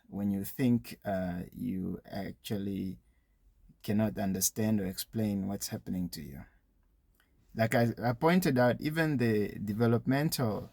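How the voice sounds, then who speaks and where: A man's voice: fairly low pitch, a little mellow, no echo, clean studio sound. A man giving an informal, educational talk with advice for young people, explaining things conversationally with frequent small asides.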